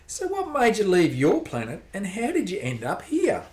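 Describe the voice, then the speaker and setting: A woman's voice: mid-range voice, huge pitch swings, normal speed, darkish tone, slight background noise. A woman playing a curious, practical and hospitable bar owner in a radio play, with an inquisitive delivery. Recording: roomy, echoey amateur recording.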